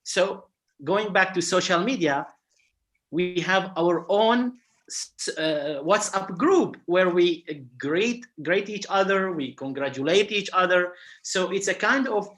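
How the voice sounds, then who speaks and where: A Syrian man with an Arabic accent speaking on a video call panel, halting and searching for words. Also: high-pitched, fairly bright tone. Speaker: archaeologist panelist.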